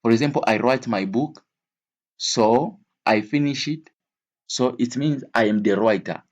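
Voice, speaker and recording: A man's voice: medium pitch, a little slow. A man teaching an English vocabulary lesson in a didactic way, repeating key words and switching between languages.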